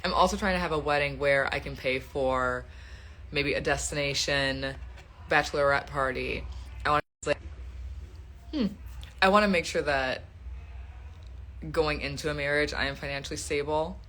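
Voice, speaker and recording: Twenty-something woman on a phone live stream, bright sharp voice, chatty and laughy, answering fans. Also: low-pitched, normal speed, long pauses. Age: 20s. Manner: chatty, laughs often, reads out viewer questions. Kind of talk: casual live stream chat.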